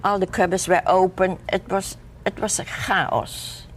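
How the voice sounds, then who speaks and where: An older woman recounting a memory haltingly in English, with foreign word order, in an interview. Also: lowish voice, steady tone. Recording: archival TV interview, faint noise.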